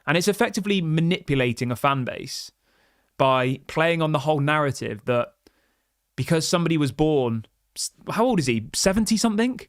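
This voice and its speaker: A man's voice: on the high side, animated, brisk, dry recording, clean studio sound. A man hosting a football fan livestream, reading viewer chats and ranting passionately with lots of restarts and rhetorical questions. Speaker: football fan channel host.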